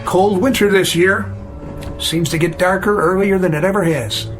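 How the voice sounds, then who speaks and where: An actor plays a cheerful male train conductor for radio drama. His voice is notably high. He calls out and chats courteously, with music clearly behind. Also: normal speed, lightly acted. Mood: genial. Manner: cheerful, calling out.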